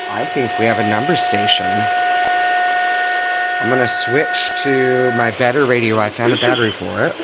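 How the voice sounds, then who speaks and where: A man's voice: medium pitch, fairly even intonation, moderate pace. A man chatting informally to viewers as host of a live radio-hobby stream. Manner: informal, addressing viewers as guys. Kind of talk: casual livestream chat. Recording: loud radio static behind the voice.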